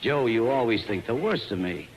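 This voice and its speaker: An actor playing a smooth-talking, scheming hustler with glib, mock-sorrowful persuasion in 1940s radio drama style. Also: male voice, mid-range voice, lively intonation, moderate pace, lightly acted, poor audio. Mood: confident. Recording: hissy old radio recording.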